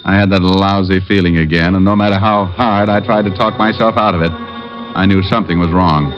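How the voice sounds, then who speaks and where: An actor playing a wisecracking private eye who narrates and quips in a dry, sardonic way, in 1950s radio drama style. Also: male voice, low voice, fairly even intonation, brisk, close to an everyday voice. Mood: narrating. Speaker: narrator and lead. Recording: dull lo-fi old radio broadcast with faint music.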